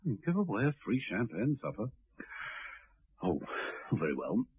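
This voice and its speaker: An actor playing a suave, unflappable gentleman secret agent with an upper-class English accent and a droll, urbane delivery in a radio drama. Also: mid-range voice, wildly swooping pitch, normal speed, breathy edge, dry recording, full character voice. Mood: brisk, breezy. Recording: dull lo-fi old radio recording.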